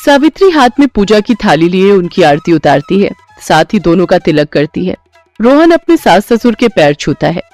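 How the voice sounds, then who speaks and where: A man's voice: sits high, big pitch swings, normal speed, darkish tone, dry recording. A man narrating a scripted moral story, with music playing behind him. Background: background music.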